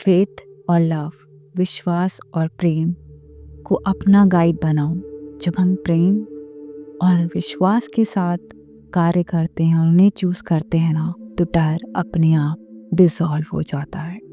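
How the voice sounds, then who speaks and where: A woman leading a guided meditation in a gentle, measured, soothing manner, with pauses between phrases. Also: lowish voice, fairly even intonation. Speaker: meditation guide and series host. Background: background music.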